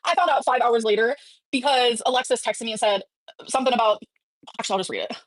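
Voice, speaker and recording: A woman's voice: a little high, fast talker, no echo, poor audio. A woman chatting casually on a livestream, gossipy and sassy, often breaking off mid-thought to answer viewers.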